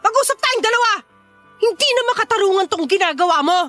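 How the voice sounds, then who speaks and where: A woman's voice: very high-pitched, lively intonation, fast, fairly bright tone, no room sound, soft background music. An actress with a Filipino accent playing an exasperated, confrontational woman neighbour in a radio drama. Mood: angry, demanding. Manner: indignant, confrontational, demanding.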